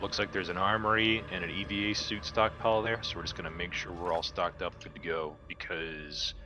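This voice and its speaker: A man's voice: deep voice, steady tone, fairly quick. A man chatting casually as a player in a tabletop roleplaying game. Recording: dull lo-fi audio, background noise.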